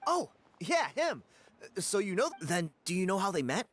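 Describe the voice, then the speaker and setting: A man voicing a friendly, eager young tribesman in a video game, speaking in a casual, expressive way. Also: sits high, moderate pace, heavily acted. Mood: chatty, informative.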